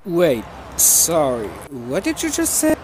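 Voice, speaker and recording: A man's voice: fairly high pitch, wildly swooping pitch, slow, noisy background, heavily acted. A man voicing an awkward, easily flustered teenage anime boy in a fan dub, his voice swinging between murmurs and yelps.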